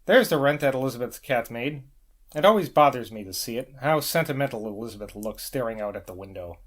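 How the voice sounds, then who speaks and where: A man's voice: medium pitch, expressive, a little fast, natural voice. A man reading the private thoughts of a fretful, fussy housekeeping woman in a novel, wistful as she mutters to herself.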